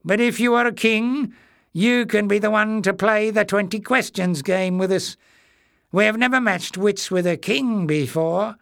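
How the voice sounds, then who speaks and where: A high, sneering creature leader, as a male narrator puts him on, cruel and mocking, with clipped broken phrasing. The reading sits close and dry, in an audiobook storybook style. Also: steady tone, a little slow, vocal fry, fairly bright tone, clear, resonant tone, no room sound, full character voice.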